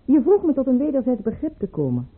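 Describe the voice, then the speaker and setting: An actress playing a proud, bitter aristocratic mistress in a radio play, with cutting, theatrical delivery. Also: female voice, high-pitched, wildly swooping pitch, fast talker, slightly rough, heavily acted. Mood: bitter, accusing. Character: proud, bitter, sharp-tongued aristocratic mistress, a widowed baroness. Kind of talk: Dutch radio play acting. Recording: muffled, phone-like old radio play recording.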